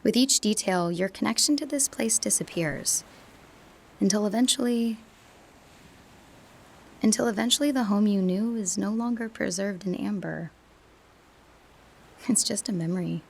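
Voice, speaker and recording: A wistful young student. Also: female voice, medium pitch, normal speed, creaky, long pauses, slightly rough. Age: young adult. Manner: reflective, quietly searching, drops to whispers. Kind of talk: radio drama monologue.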